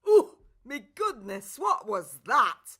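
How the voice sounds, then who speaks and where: A woman's voice: high voice, sing-song, slow, a bit bright, slightly rough, exaggerated voice. A woman voicing a tipsy, muddled fairy godmother with a Northern English accent in a children's radio play. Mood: flustered. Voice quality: slurred, hiccuping delivery. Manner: rambling, folksy, familiar.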